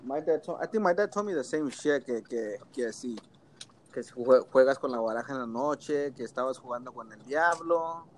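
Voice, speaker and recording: A man co-hosting a casual podcast in a middle-pitched voice. He jokes along with the others and slides into Spanish partway through sentences. A faint hiss sits under his voice. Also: lively intonation, a little fast. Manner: joking, switches into Spanish mid-sentence. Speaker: podcast co-host.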